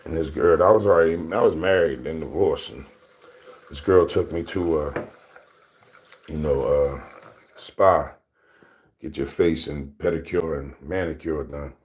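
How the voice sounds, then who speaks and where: A man in his thirties with a deep voice, telling loose, rambling stories to his camera as a casual vlog and laughing often. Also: darkish tone. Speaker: vlogger.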